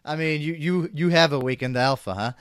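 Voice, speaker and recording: Smooth-voiced podcast host, dry close sound. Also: male voice, fairly high pitch, brisk, no echo. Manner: upbeat, stumbling, repeats words. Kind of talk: casual interview hosting.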